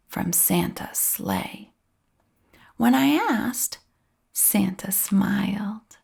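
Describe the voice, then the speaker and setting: A woman reading a children's story aloud with expressive, drawn-out phrasing and a breathy edge at the ends of phrases. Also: medium pitch. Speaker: storybook reader.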